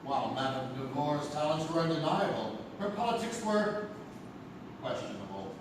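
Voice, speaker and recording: Guy doing solemn mystery show narrator, formal n dramatic, high swingy voice, distant echoey stage w music. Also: expressive, moderate pace, noisy background, close to an everyday voice. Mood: solemn, intriguing. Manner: formal, theatrical. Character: solemn storyteller host of a mystery anthology. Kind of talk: dramatic scripted narration in a live radio play. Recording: echoey theatre hall from far off.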